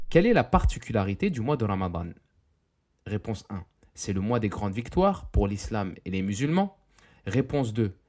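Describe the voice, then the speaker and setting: Low-voiced man narrating an educational quiz. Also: mellow.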